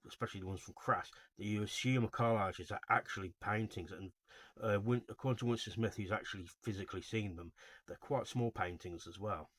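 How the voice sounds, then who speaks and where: Guy w a lowish voice doing an off the cuff video, rambly and halting, keeps correcting himself, dry sound. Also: fairly bright tone, dry recording. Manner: halting, rambling delivery with frequent self-corrections. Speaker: host of a video series on album cover art. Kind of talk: informal unscripted video commentary.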